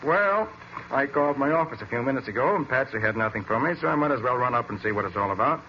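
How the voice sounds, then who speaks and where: An actor playing a brisk, self-assured American private detective in an old-time radio drama. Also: male voice, mid-range voice, expressive, lightly acted. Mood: breezy, bored. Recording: muffled, hissy vintage radio recording.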